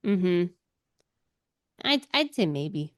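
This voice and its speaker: A woman's voice: on the low side, sing-song, extremely slow, no room sound, studio quality. A woman co-hosting a book club podcast, chatting casually.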